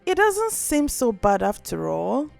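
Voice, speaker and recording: A woman's voice: fairly high pitch, huge pitch swings, unhurried, vocal fry, no room sound, faint music behind, heavily acted. A woman voicing a jealous, scheming sister in a folktale, coaxing with false sweetness. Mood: falsely sweet. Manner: sugary, coaxing.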